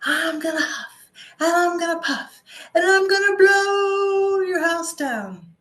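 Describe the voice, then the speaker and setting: A woman's voice: very high voice, slow pace, a bit bright, pitched above the performer's own voice, heavily acted. A woman voicing a menacing, blustering big bad wolf from a fairy tale, chanting threats that build.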